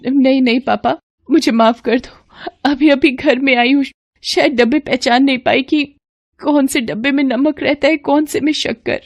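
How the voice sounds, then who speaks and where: A woman's voice: high-pitched, fairly even intonation, normal speed, no echo, pitched above the performer's own voice. A woman voicing a meek, apologetic young newlywed daughter-in-law in a cartoon, pleading and hesitant. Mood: pleading, anxious.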